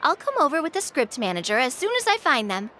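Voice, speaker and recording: Bubbly, high-voiced eager young woman. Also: slight background noise, heavily acted. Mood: teasing, excited. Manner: excitable, playful, drawn-out emphatic delivery. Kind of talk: video game voice acting. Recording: music bed behind the voice.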